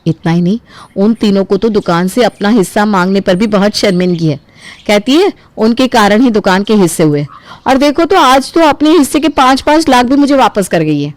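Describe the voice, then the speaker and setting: A woman acts as a kindly elder sister-in-law in a family cartoon story. She is trusting and effusive, full of affection and blessings, recorded very dry at an ordinary female pitch. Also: expressive, moderate pace, no echo, lightly acted. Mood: humble, moved.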